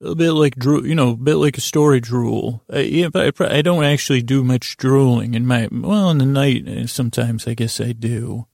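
Gravelly, meandering male podcast host. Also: mid-range voice, moderate pace, hardly any pauses, a little mellow, no room sound, clean studio sound. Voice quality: gravelly, creaky voice. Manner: meandering, stumbling and stuttering, trailing off mid-word. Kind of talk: rambling improvised bedtime-story podcast monologue.

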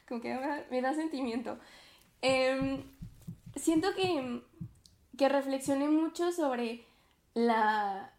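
Brief: twenties woman, casual podcast host, high bright pitch, talks in a roomy space. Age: 20s. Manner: chatty, many fillers and self-conscious laughs. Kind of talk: reflective casual monologue.

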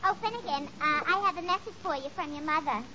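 A woman's voice: high voice, fast, natural voice. An actress playing a brash, matter-of-fact young tavern woman in 1940s radio comedy. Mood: brisk. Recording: muffled, noisy 1940s radio recording.

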